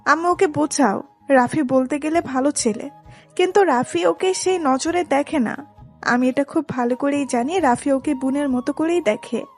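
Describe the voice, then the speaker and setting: Woman acting older sister, high-pitched, admonishing, protective, romantic audio story, music underneath. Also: moderate pace, darkish tone, close to an everyday voice. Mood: worried. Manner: stern, admonishing. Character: protective, exasperated older sister scolding her younger sister.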